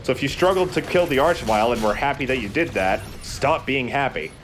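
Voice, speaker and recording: A man's voice: mid-range voice, lively intonation, fairly quick, fairly bright tone, faint music behind. A man co-hosting a let's play, casually explaining the game in a joking, conversational way. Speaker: let's play co-host, gamer. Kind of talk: casual gameplay commentary.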